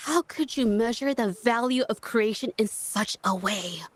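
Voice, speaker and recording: A woman voicing a gentle, earnest young painter in a video game, speaking softly and hesitantly but with quiet resolve. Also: a little high, natural voice. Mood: indignant. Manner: hesitant, soft-spoken, halting. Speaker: game character.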